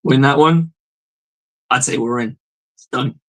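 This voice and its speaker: Hoarse male fan host, swears freely, rambles through a casual postgame rundown. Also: medium pitch, a little slow, rough recording. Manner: blunt, profane, rambling fan talk. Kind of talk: casual sports reaction monologue.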